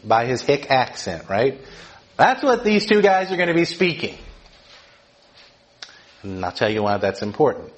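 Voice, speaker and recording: A middle-aged man from Georgia gives a teaching sermon. His delivery is conversational. He keeps tagging his points with quick questions to his listeners. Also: medium pitch, sing-song. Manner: conversational, keeps asking "right?" to the room. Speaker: preacher.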